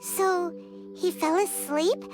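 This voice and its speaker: A woman voicing a small, excitable childlike fairy companion in a video game, with an exclamatory, chirpy delivery. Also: extremely high pitch, takes it slowly, over music. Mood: puzzled. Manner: refers to herself in third person, exclamatory.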